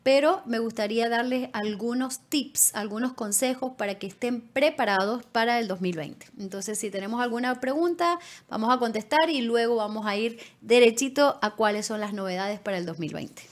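A woman's voice: fairly high pitch, normal speed, hardly any pauses. A middle-aged woman speaking conversationally as an immigration consultant, explaining things directly to viewers by name. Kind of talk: conversational advice on a live show. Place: small indoor studio. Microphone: studio microphone on desk stand, close.

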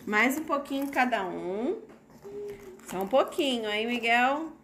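A Brazilian mother with a slightly high voice, calmly talking her children through each step of a simple recipe. She speaks in a coaxing, gently teasing way, filmed on a phone in a home kitchen with faint noise around her. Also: takes it slowly. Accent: Brazilian Portuguese. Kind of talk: casual family cooking video.

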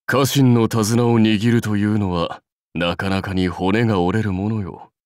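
Guy doing a proud old-school samurai lord for a game, lowish voice, slow and stately, super dry sound. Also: steady tone, dry recording, natural voice. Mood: lordly, instructive. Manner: stately, archaic lordly speech. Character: commanding, dignified male samurai warlord, proud and seasoned. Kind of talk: Japanese mobile game character voice lines.

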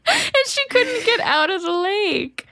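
A woman's voice: extremely high pitch, sing-song, slow pace, pushed and bright, dry recording. A woman co-hosting a podcast in casual chat, giggly and chatty, full of "like". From Pacific Northwest. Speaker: podcast co-host.

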